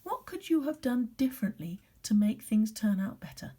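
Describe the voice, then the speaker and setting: A woman speaks as a primary teacher telling a story to young children. Her voice is on the high side and very expressive, with a husky edge. She phrases deliberately and can drop to hushed tones.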